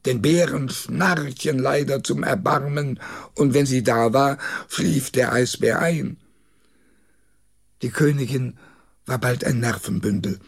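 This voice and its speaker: A man reading a rhymed comic poem for children aloud, expressively and in a deliberate storytelling manner. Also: mid-range voice, creaky, husky. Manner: measured, deliberate storytelling delivery.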